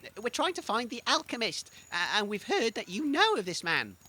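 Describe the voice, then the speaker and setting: A woman's voice: on the high side, sing-song, a little fast, sharp tone, slightly rough, exaggerated voice. A woman playing an earnest, naive, easily exasperated young adventurer in a comedy audio drama, flustered and indignant.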